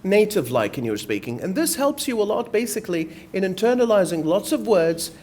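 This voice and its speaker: High, lively-voiced man commenting. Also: fairly quick. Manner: emphatic, fills with "basically" and "you know". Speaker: audience member, lecturer. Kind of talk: spontaneous comment. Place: conference room.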